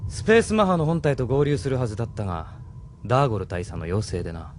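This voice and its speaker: A man's voice: medium pitch, animated, fast talker, a little hiss or hum, lightly acted. A man playing a proud, self-assured middle-aged military major in a Japanese audio drama. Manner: assured, commanding.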